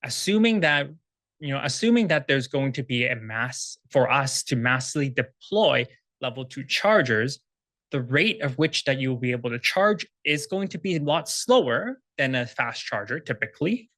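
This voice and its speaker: A man answers a question from the floor at a panel, laying out technical details in quick, fluent run-on sentences. His voice sits mid-range and sounds dry and close, with little room sound. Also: medium pitch, animated, a bit bright, no echo. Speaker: startup executive presenting. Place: conference room with audience.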